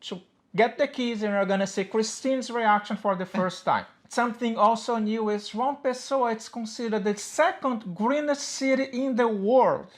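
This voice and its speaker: A woman's voice: fairly high pitch, normal speed. Brazilian-accented woman chatting to camera. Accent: Brazilian Portuguese. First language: Portuguese. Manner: chatty, upbeat, with small grammar slips. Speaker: co-host of a personal finance channel. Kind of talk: casual explanatory chat to camera.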